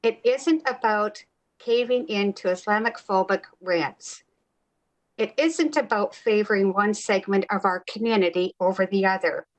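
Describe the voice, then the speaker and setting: A woman's voice: mid-range voice, unhurried, rough recording. A woman giving a formal, prepared speech as a city councillor.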